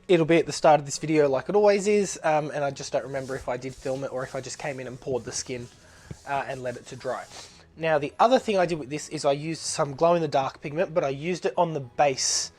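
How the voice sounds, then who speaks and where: A man with a blocked-up nose, narrating a casual craft tutorial as he works, chatty and easygoing, with plenty of ums and uhs. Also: fairly high pitch. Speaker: resin artist demonstrating a project.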